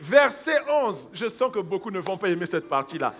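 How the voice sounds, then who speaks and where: A man preaching a sermon as pastor. He swings from hushed asides to loud exhortation, acts out people's excuses in quoted voices and breaks into praying in tongues. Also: high voice, sing-song, moderate pace, soft background music.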